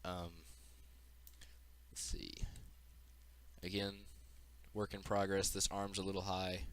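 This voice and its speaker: A man's voice: low-pitched, monotone, slow pace, fairly bright tone, clean tone. A man giving an informal, unscripted walkthrough of a game demo as its developer, explaining as he goes, with audible breathing into the mic.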